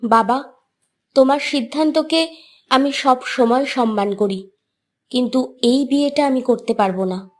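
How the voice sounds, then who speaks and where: A woman as a high-voiced, devoted young daughter. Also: unhurried, long pauses, a little mellow. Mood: pleading. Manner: tender, pleading. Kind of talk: cartoon story voice acting.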